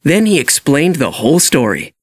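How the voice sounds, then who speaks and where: A man reading a children's story as an expressive, scripted read-along narration. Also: a little high, moderate pace, slightly rough, no echo, studio quality. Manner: animated storytelling.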